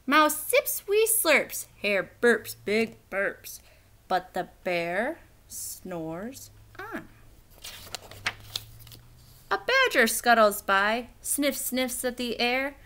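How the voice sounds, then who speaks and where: A woman reading a children's picture book aloud in an expressive, theatrical, emphatic storytelling style. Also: a little high, very slow.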